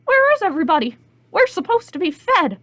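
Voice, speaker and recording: Storybook chickens, played by a woman, very high swooping pitch, squawky, whooping, indignant, flustered, dry close sound. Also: big pitch swings, a little fast, fairly bright tone, no room sound, heavily acted.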